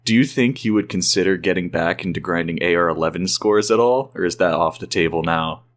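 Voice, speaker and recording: A man's voice: sits low, brisk. A man working as an interviewer, putting prepared questions in a friendly, conversational way.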